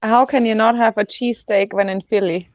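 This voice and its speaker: A woman's voice: on the high side, steady tone, a little fast, dry recording, lo-fi sound. A woman speaking English as a second language, chatting as an interview guest, often searching for words and laughing. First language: not English. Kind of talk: casual interview chat.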